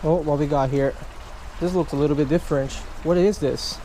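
A man's voice: on the high side, steady tone, brisk, warm tone, slight background noise, rough recording. A man in his thirties talking to camera beside a creek while fishing, exclaiming over each catch and chatting to his viewers. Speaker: fishing vlogger. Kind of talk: casual vlog narration to camera. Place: outdoors by a wooded creek. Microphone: camera mic, handheld or chest-mounted.

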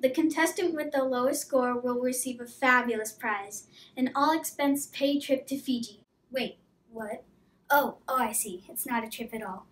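A woman's voice: sits high, moderate pace, fairly bright tone, far from the mic. A young girl hosting a homemade contest video in a classroom, with cheerful scripted announcing and playful asides. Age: child. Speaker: host of a kids' contest video. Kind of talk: scripted presenting. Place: classroom with whiteboard. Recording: echoey classroom, camera from off.

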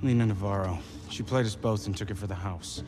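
An actor playing a confident young street racer and crew leader in a video game. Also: male voice, on the low side, a little fast, natural voice. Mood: angry, urgent. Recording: loud music behind.

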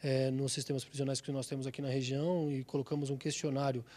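A man's voice: mid-range voice, little pitch movement, fast, dark tone. Formal Brazilian man in a news interview. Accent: Brazilian Portuguese.

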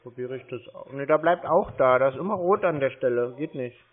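A man on a phone call, slow and muddled, stubbornly complaining to the caller. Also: medium pitch. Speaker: call recipient stringing scammers along. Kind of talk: prank phone call with scammers. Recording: tinny, band-limited phone line.

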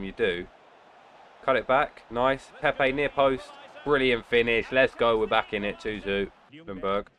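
A young man with a cold, sounding nasal, casually commentating his football video game and bursting out loudly at big moments. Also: mid-range voice. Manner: excitable outbursts during play, muttering between. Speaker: gaming channel host. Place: indoor room at home.

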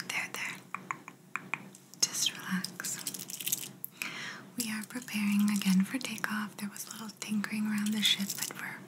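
A slow, breathy whisper, close to the mic, belongs to a female alien examiner acted by a young woman. She is calm and clinical, and gently reassuring in the manner of an ASMR sci-fi roleplay. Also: mid-range voice, close to an everyday voice. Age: young adult.

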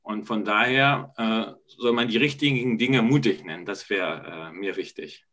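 Casting: middle-aged man, school rep, measured and reflective over a laptop mic. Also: lowish voice, a bit bright. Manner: thoughtful, measured, some stumbles. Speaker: school representative. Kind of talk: video call discussion. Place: home room, indoors. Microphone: laptop mic on video call.

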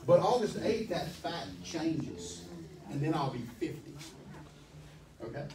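A man in his forties preaching a sermon as pastor, emphatic and animated, speaking into a handheld mic in a church hall. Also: a little high, unhurried, noisy background. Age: 40s. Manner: animated, emphatic, pacing the stage. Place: church hall, medium-sized, indoors. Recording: echoey hall, from far off.

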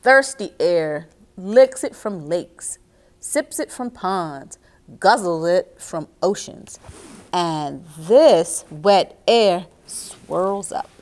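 A middle-aged woman reading and explaining to children as a story time host, expressive and speaking directly to her young listeners. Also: lowish voice, extremely slow. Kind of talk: children's read-aloud and instruction. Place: small indoor reading nook with bookshelves.

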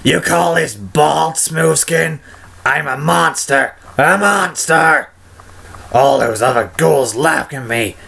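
A crude, sardonic undead wasteland ghoul in a video game style. The voice is shredded into heavy gravel, with a hard, forceful edge. The words come slowly and drawn out, soaked in bitterness. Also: male voice, on the high side, heavily acted. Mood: anguished, bitter. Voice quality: raspy, throat-grinding gravel. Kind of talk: video game character impressions, voice reel.

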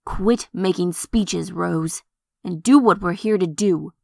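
A woman's voice: lowish voice, slow pace, creaky, darkish tone, dry recording, studio quality, exaggerated voice. A woman playing a ruthless, fanatical young revolutionary in a comic dub, hard and commanding. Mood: impatient, ruthless. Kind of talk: amateur comic dub acting.

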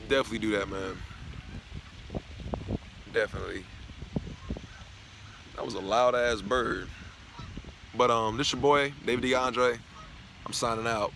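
A man's voice: mid-range voice, fairly even intonation, unhurried, pauses often. Young man rambling on a phone outdoors. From Knoxville, Tennessee. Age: young adult. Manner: loose, profane, rambling talk. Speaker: vlogger. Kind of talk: casual vlog rant. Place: outdoors on a grassy college campus. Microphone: phone camera held at arm's length. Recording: outdoor phone audio with clear background noise.

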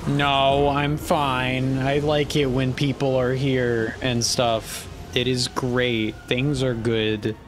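A young man with a flat, monotone delivery talks slowly into a headset from a small room. He mutters self-deprecating remarks and curses, and faint game music sits underneath. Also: medium pitch. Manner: self-deprecating, reads chat aloud, swears. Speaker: streamer. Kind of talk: live game stream chat.

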